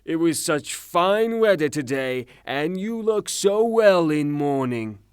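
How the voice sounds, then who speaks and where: A man's voice: a little high, lively intonation, slow pace, clean tone, dry recording, clean studio sound. A man acting in a theatrical audio drama as a furious, rude creditor given to blustering, sarcastic tirades. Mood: mock-polite, sarcastic. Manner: blustering, declamatory, sarcastic, long rhetorical tirades. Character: furious, rude creditor, a ranting misogynist gentleman.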